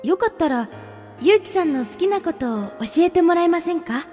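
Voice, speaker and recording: A woman acting a gentle, courteous young pen pal in a radio drama, playfully secretive and softly teasing. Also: very high voice, animated, fairly quick. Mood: polite, grateful. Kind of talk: radio drama dialogue, reading letters aloud. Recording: long reverb, music behind.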